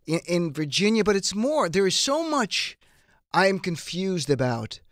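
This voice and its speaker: A male radio host talks through his political show in a chatty, self-deprecating way. His voice is hoarse from a cold but still sits fairly high. He is close on a dry studio mic. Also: dry recording. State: hoarse, getting over a cold. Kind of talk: political talk radio monologue. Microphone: studio microphone.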